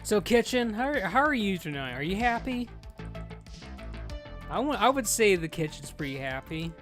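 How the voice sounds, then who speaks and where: A man in his thirties chatting casually while streaming a game with friends, loud and excitable and swearing freely. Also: high voice, normal speed, faint music behind. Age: 30s. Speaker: streamer leading a game group. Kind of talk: casual live gameplay chat.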